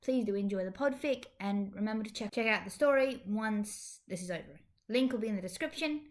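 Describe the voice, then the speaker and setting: A woman's voice: mid-range voice, fairly quick. A woman narrating fan fiction expressively as a podfic reader.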